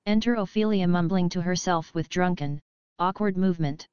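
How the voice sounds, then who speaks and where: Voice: medium pitch, moderate pace, darkish tone. A narrator reading out stage directions for an audio play in a scripted style. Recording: dull, echoey sound, faint crowd.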